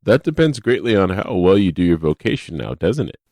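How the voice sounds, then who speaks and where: An actor in a radio drama playing an arrogant, sarcastic, foul-mouthed FBI agent who talks down to people with wordy mock politeness. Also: male voice, low-pitched, sing-song, fairly quick, a little mellow, no room sound, exaggerated voice. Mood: condescending, irritated.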